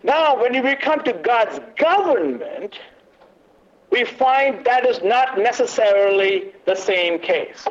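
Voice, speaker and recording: A man leading a church workshop talk, loud but not booming, halting with many fillers and quizzing the audience. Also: animated. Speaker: workshop teacher. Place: meeting room, away from the podium. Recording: dull lo-fi recording.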